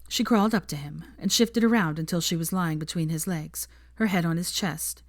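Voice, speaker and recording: Low-voiced woman reading a story. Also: moderate pace, dry recording, studio quality. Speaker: fanfiction narrator. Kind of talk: audiobook reading.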